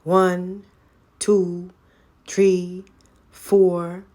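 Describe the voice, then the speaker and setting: A woman voicing a stubborn, wizened old village witch from a folk tale, croaky and terse. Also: on the low side, very slow, voice pushed up. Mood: monotonous, stubborn. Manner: monotonous counting, terse commands.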